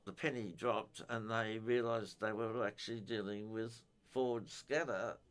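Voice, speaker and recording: An older man giving an informal technical explanation in a halting, methodical way. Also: fairly low pitch, fairly even intonation, clean tone. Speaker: amateur radio enthusiast giving a technical talk.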